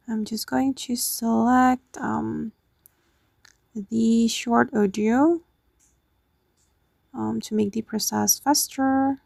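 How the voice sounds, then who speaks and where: Woman doing a casual how-to, really creaky voice, kinda high, talking through steps as she goes. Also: mellow, dry recording, studio quality. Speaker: tutorial host. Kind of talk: casual step-by-step app tutorial narration.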